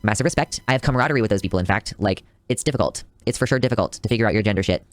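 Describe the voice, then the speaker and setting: Fast, dry-voiced male video essayist. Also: on the low side, no echo. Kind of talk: scripted video commentary.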